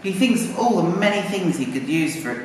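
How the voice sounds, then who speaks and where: A man's voice: high-pitched, expressive, fairly quick, darkish tone. A man narrating a story live, chatting informally and coaxing children with questions. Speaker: narrator and puppeteer. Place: live puppet show in arts centre. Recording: echoey hall, distant mic, faint noise.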